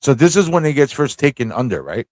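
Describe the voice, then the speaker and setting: A man's voice: mid-range voice, big pitch swings, fast talker, darkish tone, no room sound. Casual male live streamer, dry close sound. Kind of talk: casual live stream chat, reading aloud and reacting.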